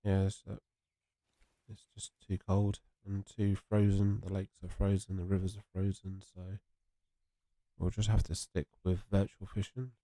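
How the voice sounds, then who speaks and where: A man's voice: deep voice, little pitch movement, measured pace, mellow, dry recording, low-quality recording. A young man with a congested, sniffly voice, rambling casually with viewers while streaming a game. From England. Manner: rambling and chatty, answering viewers. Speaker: gaming streamer. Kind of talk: casual livestream chat while playing.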